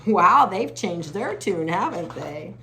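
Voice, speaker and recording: Expressive, slow-paced woman reading to children. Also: fairly low pitch. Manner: expressive, drawn-out words, hushed chatty asides. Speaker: children's story reader. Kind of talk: animated read-aloud with casual asides to young listeners.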